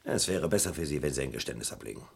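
An actor playing a dogged, insistent British police detective in a German radio crime drama, theatrical and emphatic, with a gravelly voice. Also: male voice, a bass voice, expressive, very fast, exaggerated voice. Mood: stern, insistent.

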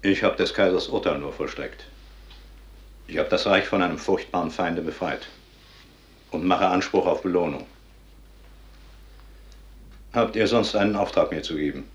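An actor playing a cold, unrepentant soldier and assassin, firm and hard, in a 1950s German radio drama. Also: male voice, low-pitched, moderate pace, long pauses. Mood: defiant, proud. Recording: dull vintage 1950s radio recording.

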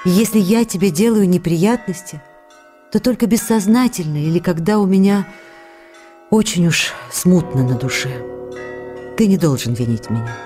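A woman's voice: fairly low pitch, expressive, normal speed, warm tone, soft background music, close to an everyday voice. An actress playing an anguished, devoted wife reading her intimate, emotional letter in a radio play. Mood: pleading, sorrowful.

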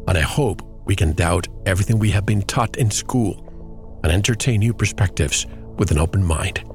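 A man hosting a radio interview program, reading a scripted introduction and then questioning his guest in a measured, earnest way. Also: low voice, soft background music. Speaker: radio show host.

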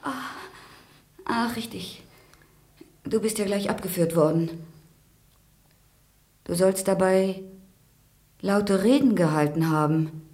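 An actress playing a grieving, bitter grand duchess in a German radio play, halting and often sinking into whispers. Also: female voice, medium pitch, mellow. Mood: anguished. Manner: halting, breathy delivery dropping into whispers. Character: grieving widowed Russian grand duchess, pleading, bitter, devout.

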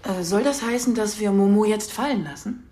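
A woman's voice: medium pitch, normal speed, warm tone, natural voice. An actress playing a sceptical police officer challenging her boss in a radio crime drama.

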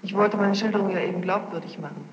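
A woman's voice: mid-range voice, quick pace. A young woman giving spontaneous testimony as a witness, explaining herself defensively and insistently. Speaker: witness under questioning. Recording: noisy, muffled archival tape, echoey hall.

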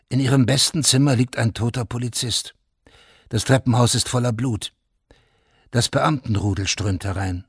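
A man's voice: fairly low pitch, slightly rough, no echo, clean studio sound. A man narrating a scripted radio drama in a sober, factual manner, in short plain statements.

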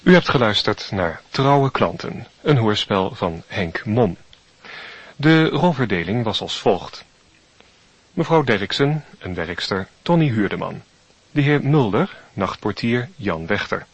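A man announces radio credits in a measured, formal way. His voice is low and a little rough, recorded very dry with a slightly dull tone. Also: lively intonation, dry recording.

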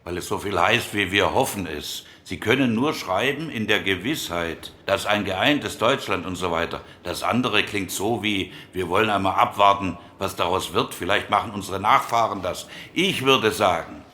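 A man's voice: sits low, moderate pace, few pauses, sharp tone. A man playing a precise, pedantic committee member in post-war Germany, debating formally and insistently in a radio docudrama. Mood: critical, exacting.

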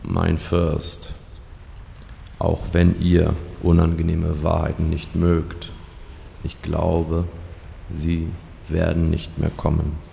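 An actor playing a dour, deferential servant of a fire lord in a comedic fantasy audio drama, speaking formally and deadpan. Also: male voice, extremely low pitch, slow, vocal fry, full character voice. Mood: dry, solemn. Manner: formal, deadpan, solemn courtly phrasing. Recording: long hall reverb, faint noise.

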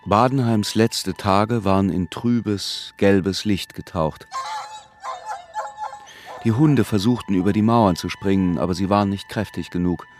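A man acts as a grave storyteller narrating a literary radio drama. His low voice is measured and sombre, with music clearly underneath. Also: a little mellow, natural voice.

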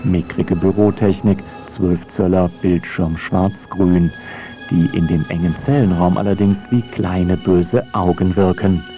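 A man's voice: sits low, fairly even intonation, measured pace, dark tone, faint music behind. A man reading a scripted radio feature in a wry, pointed, mocking way. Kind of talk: scripted narration, ironic essay.

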